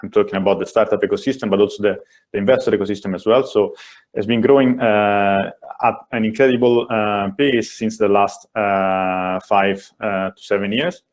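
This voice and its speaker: A man with an Italian accent, a venture investor answering as a panelist in a webinar in a measured, thoughtful way. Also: on the low side, steady tone. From Italy.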